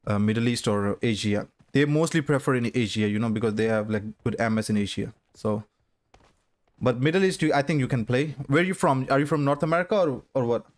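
Man, 30s, game streamer, bantering with chat, headset mic, small home room, slow delivery. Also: medium pitch. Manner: switches between Urdu and English, bantering with chat. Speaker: gaming streamer. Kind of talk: live stream chat with viewers while playing.

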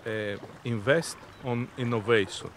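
A man in his fifties answering interview questions in a halting, deliberate, unscripted way. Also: medium pitch, fairly even intonation. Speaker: interviewee, innovation zone representative. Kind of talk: spoken interview, unscripted explanation. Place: outdoors on a sea beach. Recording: outdoor seaside background noise.

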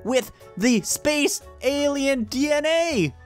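Lively, excitable young man gaming. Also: very high voice, takes it slowly, soft background music. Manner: excitable, mock-dramatic. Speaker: gaming video player. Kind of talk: playful improvised gameplay roleplay commentary.

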